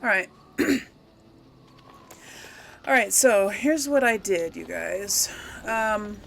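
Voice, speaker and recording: A woman's voice: mid-range voice, expressive, drawn-out words, creaky, fairly bright tone, a little hiss or hum. A woman hosting a casual let's play, talking to viewers in a rambling, hesitant, giggly way. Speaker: gaming video host. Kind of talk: casual let's play commentary with role-played replies.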